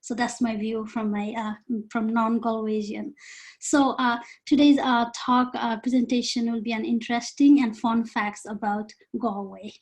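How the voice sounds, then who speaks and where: A woman from Nepal, presenting earnestly and haltingly over a video call. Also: on the high side, fairly even intonation. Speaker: volunteer presenter. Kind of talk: informal online presentation.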